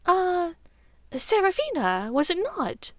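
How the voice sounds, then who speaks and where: A woman's voice: very high-pitched, huge pitch swings, slow pace, no echo, studio quality, pitched above the performer's own voice, full character voice. A woman voicing a careful young wizard disguised as his mother, speaking in a guarded, delicate way in a story reading. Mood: nervous bluff.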